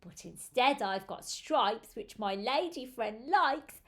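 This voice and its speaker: A woman's voice: a little high, lively intonation, slow pace, fairly bright tone, heavily acted. A woman reading as a proud, vain male zebra in a children's storybook, with a self-satisfied lilt. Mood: proud, pleased. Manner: lilting, self-satisfied rhyming delivery.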